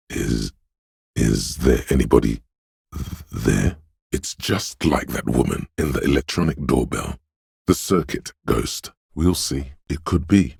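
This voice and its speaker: A man's voice: dry recording, clean studio sound, heavily acted. A man voicing a brooding, eerie inner voice of intuition in a video game, speaking intimately and poetically in a mournful, ominous, dreamlike way. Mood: desolate, haunting, then dreamy, musing. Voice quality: very deep, husky, gravelly whispery rumble. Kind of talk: video game voice acting, literary second-person narration.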